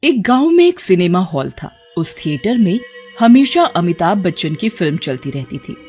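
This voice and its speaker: A woman's voice: on the low side, big pitch swings, moderate pace, a little mellow. A woman narrating a scripted cartoon story. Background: background music.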